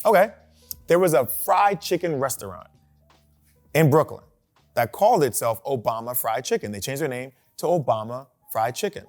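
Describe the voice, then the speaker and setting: A man hosting a talk show, posing questions to the panel in an animated way and often checking in with "right?". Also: mid-range voice, moderate pace, soft background music. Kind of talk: moderating a discussion.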